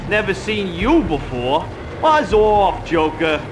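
An actor playing a gruff, suspicious mob doorman in a game, curt and dismissive. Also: sits high, very animated, slow pace, over music, full character voice.

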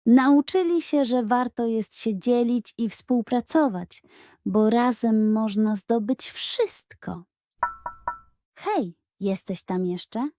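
A woman reading a scripted bedtime story for children in an expressive, careful storytelling manner. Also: fairly high pitch, slow pace, no echo, studio quality. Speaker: audiobook narrator.

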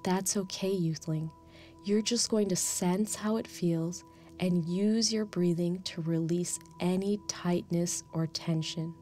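A woman playing a calm, nurturing guide who leads children through a meditation in a soft, soothing, gently instructing way. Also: fairly low pitch. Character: Dynamo Spiritus, calm nurturing meditation guide addressing youngsters. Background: background music.

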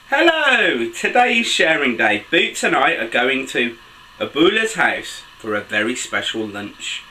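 Dad in his 30s reading a kids book out loud, slow n very up and down, enthusiastic, bit of background noise. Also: mid-range voice, low-quality recording. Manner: expressive, sing-song, enthusiastic reading to children. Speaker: dad reading children's books for a video channel. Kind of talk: animated storybook read-aloud for children. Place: small bedroom with a bed and soft toys.

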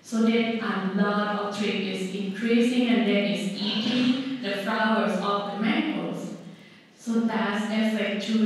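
A young woman with a Thai accent on a conference panel, chatting in a friendly, hesitant way with many fillers, holding a handheld mic. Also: medium pitch. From Thailand. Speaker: panelist, hydrologist. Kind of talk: staged panel chat. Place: large conference hall on stage. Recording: echoey hall from far off.

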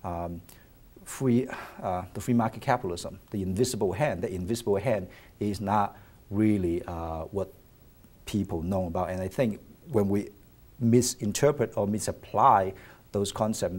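A man in his thirties from China, a finance professor talking in a studio panel with many pauses and restarts. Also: deep voice, darkish tone, breathy edge. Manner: thoughtful, many hesitations and restarts. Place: television studio set. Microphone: lapel mic.

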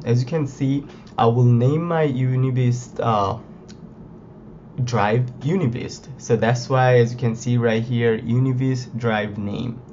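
Hoarse man walking through a tech guide. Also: medium pitch, mellow. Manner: informal, many fillers like "you know" and "like". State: hoarse from illness. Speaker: tech video host. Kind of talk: casual tutorial walkthrough. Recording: slightly dull lo-fi with faint noise.

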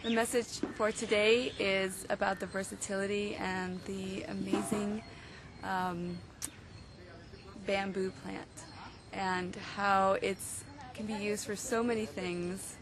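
A woman's voice: mid-range voice, little pitch movement, slow. A middle-aged woman from California giving a testimonial outdoors to a camera. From California, United States. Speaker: event participant. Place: outdoors by a thatched hut. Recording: noisy outdoor camcorder recording. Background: outdoor ambience, birds.

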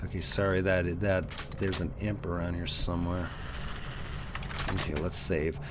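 A man's voice: low voice, flat delivery, measured pace. A man giving casual, rambling play-through commentary as a gaming video host. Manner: chatty, rambling, jumpy exclamations. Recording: noisy voice-over with game sounds. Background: video game sounds.

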